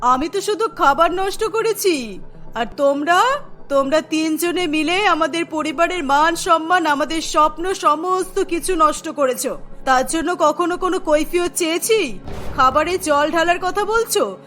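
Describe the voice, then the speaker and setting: A woman's voice: very high voice, measured pace, fairly bright tone. A woman playing a spiteful, domineering middle-aged mother-in-law in a melodramatic cartoon, scolding and accusing. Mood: angry scolding. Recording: music bed behind the voice.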